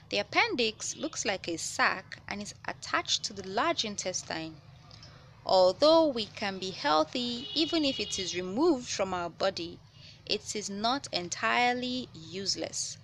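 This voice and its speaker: A woman reading a children's science picture book aloud, with measured, clear phrasing for young listeners. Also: mid-range voice, a bit bright. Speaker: children's read-aloud host. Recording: noticeable background noise.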